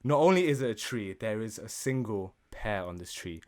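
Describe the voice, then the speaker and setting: A man's voice: fairly low pitch, expressive, normal speed, a little mellow. A man co-hosting a gaming podcast, casual, jokey and sweary, improvising and bantering.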